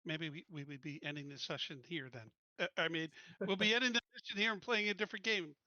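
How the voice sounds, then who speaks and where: A man talks casually as a player at an online game session. His voice is fairly high and very sharp, on a dry, slightly dull recording. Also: quick pace, dry recording. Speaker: player in a tabletop roleplaying game. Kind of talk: casual game table chat.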